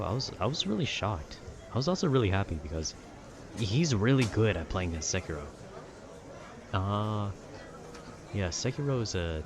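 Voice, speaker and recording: A man rambling casually and conversationally about video games in a nerdy, meandering way. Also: sits low. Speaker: content creator.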